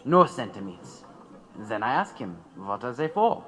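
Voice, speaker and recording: Audio drama: anxious German immigrant radical man, hesitant, tense bright voice, very animated pitch. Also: a little slow. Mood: fearful, hesitant.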